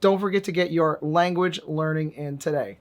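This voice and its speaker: A man's voice: on the high side, moderate pace. A man vlogging a casual app review in a wry, joking way, with sudden emphatic bursts. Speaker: VR fitness and language vlogger.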